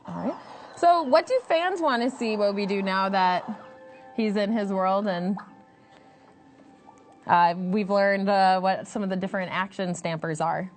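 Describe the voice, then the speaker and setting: A woman's voice: mid-range voice, unhurried, long pauses, faint music behind. A woman hosting a live stream, cheerful and easily amused as she chats. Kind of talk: casual live chat.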